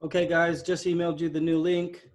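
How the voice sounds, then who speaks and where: A man's voice: fairly high pitch, level tone, a little fast. A man hosting a casual group video call. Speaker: meeting host.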